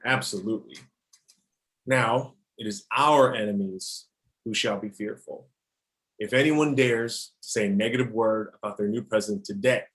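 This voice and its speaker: A man playing a menacing, measured dictator in an amateur table read. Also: on the low side, a bit bright, lightly acted, low-quality recording. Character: menacing male dictator president boasting of atrocities.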